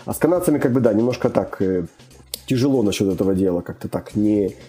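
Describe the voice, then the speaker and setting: A man's voice: on the low side, animated, fast, dark tone. A young man chatting casually as a guest, rambling with many fillers and restarts. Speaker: immigrant guest. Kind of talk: casual conversation.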